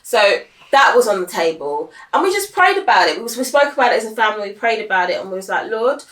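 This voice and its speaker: A woman's voice: medium pitch, big pitch swings, quick pace, fairly bright tone. A woman, a mother chatting casually to the camera as a family vlogger, with her talk full of "obviously" and "do you know what I mean". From United Kingdom. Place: family home, indoors. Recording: roomy echo, voice heard from a distance.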